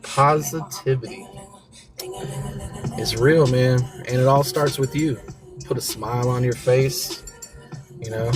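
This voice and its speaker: An American man with a mid-pitched voice talks over clearly audible music. He hosts a casual motivational show in slangy, encouraging language. He drives points home by repeating key phrases. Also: steady tone, slow pace, pauses often. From southern United States (Mississippi and Florida). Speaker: talk show host. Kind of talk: casual motivational livestream talk. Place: in a vehicle during a commute.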